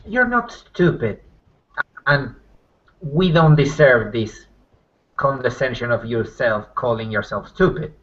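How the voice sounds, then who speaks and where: A man's voice: mid-range voice, animated, takes it slowly, long pauses, highs cut off. A man voicing a cheeky, blunt, irreverent werewolf trickster in an improvised tabletop roleplaying game, talking plainly and crudely. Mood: blunt, puzzled.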